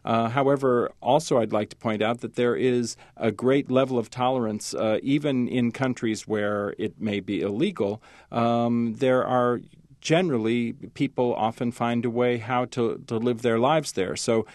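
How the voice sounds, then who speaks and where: A man who is a journalist appears as a guest in a radio interview. He speaks haltingly, with many fillers and restarts. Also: mid-range voice, darkish tone, no echo, clean studio sound.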